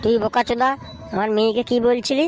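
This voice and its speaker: A woman's voice: fairly high pitch, fairly even intonation, quick pace, faint music behind, lightly acted. A woman voicing a fierce, foul-mouthed, scolding mother in a comic cartoon. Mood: furious. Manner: scolding, crude, threatening.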